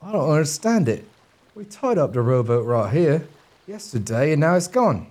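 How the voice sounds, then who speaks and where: A man plays a hapless young suitor in a gothic comedy audio drama. His voice is fairly high and flustered, jumping around in pitch. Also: very animated, moderate pace, mellow.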